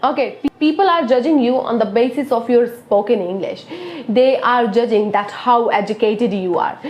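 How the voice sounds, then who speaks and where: Indian-accented woman teacher, Hindi native, high-ish voice, coaxing and earnest, echoey room. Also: moderate pace, a little mellow. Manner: earnest, coaxing, frequent "okay?" checks. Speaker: English teacher. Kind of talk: motivational video lesson.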